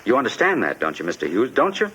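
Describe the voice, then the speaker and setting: A man's voice: mid-range voice, wildly swooping pitch, fast, sharp tone. An actor playing a desperate, bitter immigrant man haunted by his past, insistent and wrought up, in a 1950s radio drama. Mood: embittered, resolute. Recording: dull, noisy 1950s radio recording.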